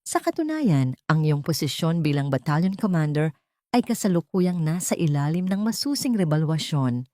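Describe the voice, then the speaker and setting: A woman's voice: deep voice, sing-song, moderate pace, mellow, dry recording, studio quality. A woman voicing a stern male presiding officer in an audio story, cold and formal. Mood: cold, official.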